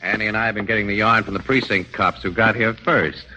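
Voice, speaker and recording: Wisecracking American press photographer, low but forceful, rapid-fire, vintage radio. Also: male voice, fairly even intonation, poor audio. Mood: breezy. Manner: breezy, casual, quick. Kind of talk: 1940s radio crime drama acting.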